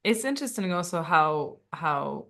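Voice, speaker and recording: A woman co-hosts a casual interview over a video call, full of enthusiasm. Her questions wander and stretch out. Her pitch is a touch low for a woman. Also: very animated, moderate pace, gravelly, a bit bright. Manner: enthusiastic, asks long rambling questions. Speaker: co-host.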